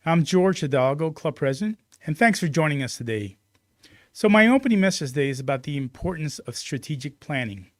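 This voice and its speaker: A man's voice: on the high side, lively intonation, fairly quick, no room sound, clean studio sound. A man speaking as a club president, delivering prepared announcements to open a meeting.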